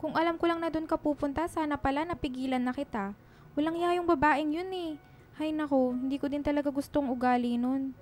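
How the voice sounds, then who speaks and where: A woman's voice: high-pitched, fairly even intonation, brisk, a little mellow, soft background music, lightly acted. A woman playing a kind, protective lady employer in a radio drama, chatty and concerned. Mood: concerned, indignant. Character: kind, protective middle-class employer, a single mother. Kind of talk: Filipino radio drama acting.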